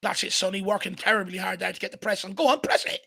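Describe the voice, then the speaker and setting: A hoarse Irish man hosting a fan watchalong, giving excited live commentary and urging the players on. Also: sits high, quick pace. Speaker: fan channel host. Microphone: home desk mic.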